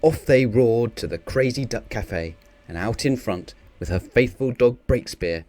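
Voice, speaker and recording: A man's voice: medium pitch, lively intonation, measured pace, a little mellow, no room sound. A man narrating a children's picture book expressively, theatrical on the sound-effect words. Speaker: storybook reader.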